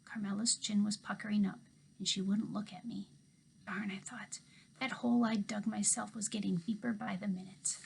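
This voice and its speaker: A woman teacher reading a children's novel aloud to her class, expressive, dropping to a hush for asides. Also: medium pitch, normal speed, gravelly, slight background noise. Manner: expressive storytelling, hushed asides.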